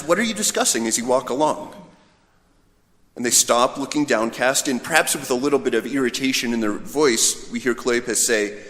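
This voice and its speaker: A man's voice: medium pitch, pushed and bright. A male priest giving an informal, conversational homily in a storytelling manner. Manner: storytelling, voicing imagined dialogue. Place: large reverberant church. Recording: echoey hall with long reverb.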